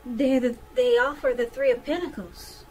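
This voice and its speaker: A woman's voice: fairly high pitch, fairly even intonation, normal speed, a little mellow, soft background music, poor audio. A woman giving a conversational tarot reading straight to the listener, repeating phrases for emphasis. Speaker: tarot reader.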